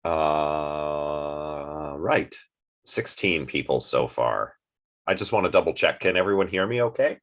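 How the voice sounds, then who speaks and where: A man with a deep, low voice, sniffly and stuffed up, talking through an online class. He rambles casually, with plenty of ums and restarts as he gets to his point. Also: slow pace, fairly bright tone. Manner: chatty, rambling, many fillers and restarts. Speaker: philosophy lecturer.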